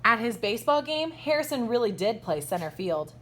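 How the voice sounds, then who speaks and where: A woman teacher reads a children's picture book aloud with lively, swinging intonation. She emphasises key words and pauses to question her young listeners. Faint noise sits behind her voice. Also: a little high. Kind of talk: expressive children's storytime read-aloud with asides.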